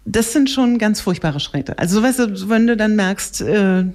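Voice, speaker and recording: A woman radio host chatting casually on live radio, telling things in an animated way. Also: medium pitch, a little slow.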